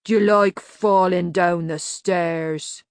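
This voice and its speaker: A woman voicing a huge, slow-witted, childlike man in an audiobook, rumbling out simple, insistent words very slowly. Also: fairly even intonation, clear, resonant tone, no room sound. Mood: slow menace. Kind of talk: audiobook character voice.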